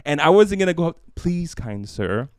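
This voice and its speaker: Podcast co-host, male, deep smooth voice, chatty storyteller, dry close mic. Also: moderate pace, dry recording. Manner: storytelling, animated, lots of fillers. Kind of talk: casual faith podcast chat.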